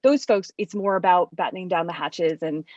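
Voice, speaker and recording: Lowish-voiced woman, dull dry audio. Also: normal speed, a little mellow, no room sound. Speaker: panel guest, venture investor. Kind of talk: conversational business discussion.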